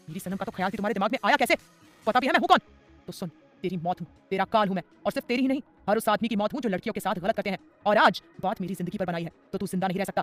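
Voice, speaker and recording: A woman's voice: mid-range voice, natural voice. A woman playing an obsessive, menacing mafia boss. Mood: enraged. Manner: threatening, possessive, intense.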